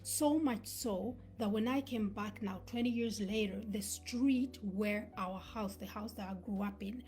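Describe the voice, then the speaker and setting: A woman's voice: mid-range voice, a little slow, a little mellow, faint music behind. A woman in her thirties, born in Uganda, giving an earnest motivational talk on a live stream and dropping to a whisper for emphasis. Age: 30s. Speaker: motivational speaker.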